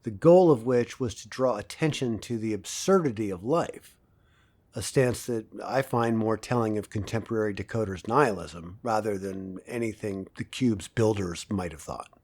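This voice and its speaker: An actor playing an earnest professor giving a measured, self-assured academic lecture in an audio drama. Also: male voice, lowish voice, a little mellow, close to an everyday voice. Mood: earnest, opinionated.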